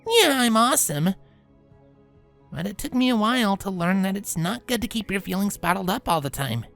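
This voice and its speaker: A confident grown pony mare acted by a woman talks with lively, animated intonation. She is casual and caring with a cocky edge, a storybook heroine. Faint music sits underneath. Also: medium pitch, moderate pace, vocal fry. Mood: playful, cocky. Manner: casual, reassuring, a touch cocky. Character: confident, playfully cocky, caring adult female pegasus pony. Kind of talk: audio storybook dialogue.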